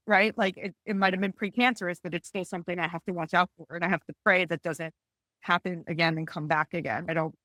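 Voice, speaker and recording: Female, early 40s, lowish voice, dry sound, halting and candid personal testimony. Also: a bit bright, no echo. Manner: candid, halting, circles back and repeats phrases. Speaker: panelist, cancer patient and mother. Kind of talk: personal testimony in a panel discussion.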